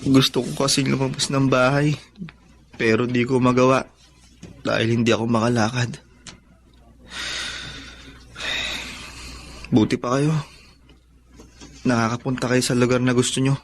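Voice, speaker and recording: A man's voice: mid-range voice, little pitch movement, moderate pace, long pauses, a little hiss or hum, lightly acted. A man acting in a radio drama as a wistful, determined young son who speaks earnestly and politely.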